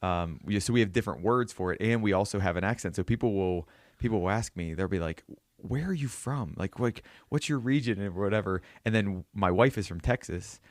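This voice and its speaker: A man's voice: lowish voice, a little fast, no room sound, studio quality. A man from southwestern Pennsylvania with a western Pennsylvania accent, hosting a casual interview in a friendly, chatty way. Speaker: podcast host.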